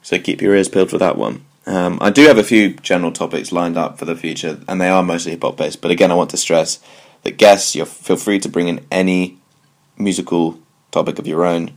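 A man's voice: deep voice, steady tone, brisk, vocal fry. A British man hosting a solo music commentary show, talking in a wry, digressive way with jokey asides. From United Kingdom. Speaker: podcast host.

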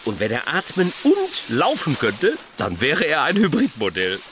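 A man's voice: on the high side, wildly swooping pitch, unhurried, fairly bright tone, slight background noise, heavily acted. A man playing a grumpy, sarcastic employee who is weary of his colleague, in a comedic radio play. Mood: wry, surprised. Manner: dry sarcasm, grumbling.

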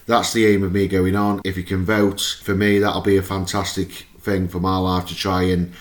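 Hoarse-voiced man hosting a fan podcast. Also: sits low, little pitch movement, moderate pace, echoey room. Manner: chatty and eager, with restarts. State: hoarse, losing his voice. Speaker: podcast host. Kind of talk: casual fan podcast chat.

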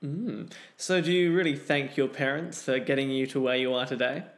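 A man's voice: medium pitch, steady tone, normal speed. A man hosting an interview show, introducing guests and asking prepared questions. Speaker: podcast host and interviewer.

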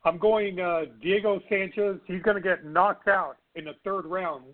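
A man giving fight picks in casual chat, rambling and excitable with stammered restarts, his voice going hoarse. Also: high voice, normal speed. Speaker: regular panelist. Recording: tinny phone-line audio.

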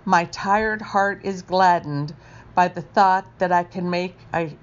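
A woman reading her own poems aloud in a deliberate, careful manner. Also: fairly low pitch, dull audio. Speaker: poet reading own work. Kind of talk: poetry recital.

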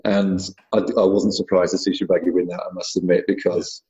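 Low-voiced chatty British man, echoey line. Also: little pitch movement, brisk, mellow, lo-fi sound. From Britain. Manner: chatty, rambling, many fillers and restarts. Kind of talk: casual chat.

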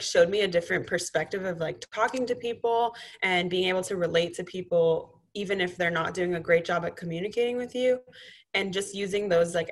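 Young female student guest, lowish pitch, very informal chatty phrasing. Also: gravelly. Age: young adult. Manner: informal, frequent "like". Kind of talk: casual chat.

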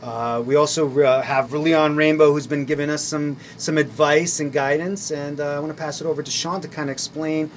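A man talks up a community arts event with enthusiasm, dotting his speech with fillers. His voice sits somewhat high, and a faint background hum runs under the recording. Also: steady tone, normal speed, few pauses. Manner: enthusiastic promoter, many fillers. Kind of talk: casual radio interview.

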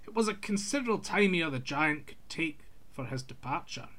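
A man's voice: fairly high pitch, expressive, brisk, fairly bright tone. A man reading a scripted folk tale aloud in an old-fashioned literary storytelling manner.

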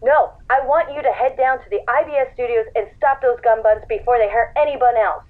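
A woman's voice: on the high side, fairly quick. Woman as exasperated boss on a tinny phone line. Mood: exasperated, commanding. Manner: sarcastic, scolding. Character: exasperated female boss of a rabbit detective. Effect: radio or telephone filter. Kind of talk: radio play acting. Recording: thin narrow phone-like line.

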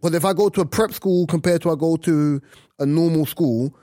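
A man co-hosting a casual podcast, talking in an emphatic, animated way. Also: fairly high pitch, a little fast, no room sound, studio quality. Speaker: podcast co-host.

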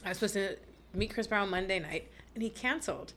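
A young Bahamian woman in her twenties, a podcast guest telling stories in a lively, laughing way. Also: medium pitch, fast talker, a little hiss or hum. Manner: animated storytelling, laughs often. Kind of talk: casual chat.

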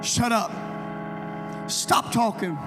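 A hoarse man preaching as youth pastor at a live church service, fervently calling out to the congregation. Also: very high-pitched, slow pace. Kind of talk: Pentecostal-style preaching. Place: church sanctuary. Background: organ music.

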